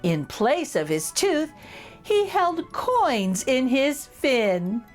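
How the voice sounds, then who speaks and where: A woman reads a picture book aloud to children, pausing to ask her listeners questions and react with delight. Her high voice swoops in a sing-song way, and she takes her time. Faint music sits under her reading. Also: very animated. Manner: warm, exclamatory, asks children questions. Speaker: children's storytime reader.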